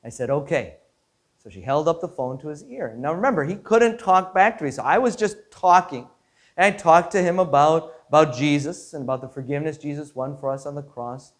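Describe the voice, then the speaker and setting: Higher-pitched man, earnest pastor. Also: expressive, fairly quick. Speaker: Lutheran pastor. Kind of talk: devotional storytelling message.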